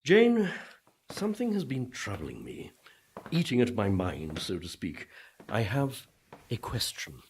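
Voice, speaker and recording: A man's voice: fairly low pitch, wildly swooping pitch, a little slow, slight background noise, heavily acted. A man playing the ghost of a dour, guilt-ridden Puritan preacher in a comic radio play, pompous and exasperated in manner. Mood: troubled, hesitant. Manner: pompous, exasperated, self-justifying.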